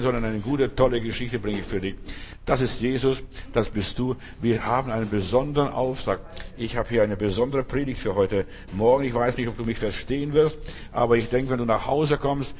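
A man's voice: medium pitch, a little hiss or hum. A man preaching a sermon, speaking emphatically in repeated refrains and addressing the listener directly.

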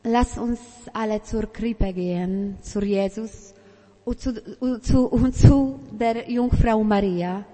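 A woman from Poland gently reading a Christmas story aloud at church. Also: on the high side, slow pace, big-room sound. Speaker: church reader.

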